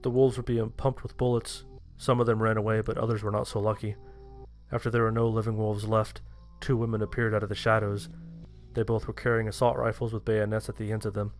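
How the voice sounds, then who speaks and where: A man's voice: on the low side, flat delivery, fairly quick, faint music behind. A man reading a scripted first-person horror story as its narrator.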